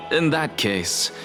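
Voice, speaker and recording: A man's voice: on the high side, full character voice. An actor voicing an aloof, arrogant male professor in a game cutscene, precise and lecturing. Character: aloof arrogant male scholar, cold and cerebral. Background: game score music.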